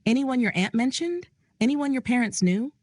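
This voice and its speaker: A woman voicing a male crime boss who speaks calmly and with measured command. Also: sits high, no room sound, clean studio sound, voice pushed up. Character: controlled male mafia boss, calm and steady. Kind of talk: audio drama reading.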